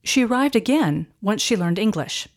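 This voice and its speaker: A woman host giving scripted documentary narration in a steady, composed delivery. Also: mid-range voice, moderate pace. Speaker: podcast narrator.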